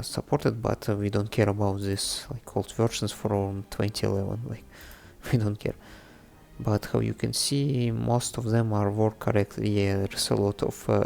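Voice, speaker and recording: A native Russian-speaking man with a Russian accent presents a casual coding tutorial, thinking aloud in a halting way. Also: fairly low pitch, creaky, darkish tone. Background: background music, typing on keyboard.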